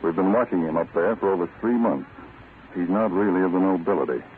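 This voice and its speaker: A man's voice: low voice, lightly acted. A man playing a terse, authoritative counter-spy chief in a 1940s radio drama. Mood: curt, secretive. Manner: terse, authoritative, deliberate instructions. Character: commanding male American counter-spy chief, brisk and secretive. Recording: tinny, noisy vintage radio recording.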